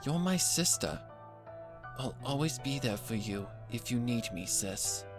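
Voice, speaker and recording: A man voicing a refined, supportive adult pony mare, composed and warm-hearted, in a fan fiction story reading. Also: medium pitch, expressive, unhurried, music in the background.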